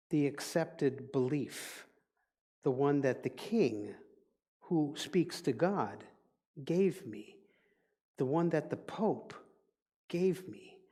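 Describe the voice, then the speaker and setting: A man with a Dutch accent, a native Dutch speaker, giving a reflective sermon as a minister in a deliberate, teaching manner. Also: fairly high pitch, mellow. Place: church sanctuary. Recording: long reverb of a large hall.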